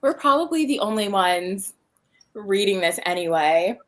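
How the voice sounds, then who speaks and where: Woman livestream guest, very unhurried delivery, mid-range voice, wry and casual. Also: medium pitch, expressive, a bit bright.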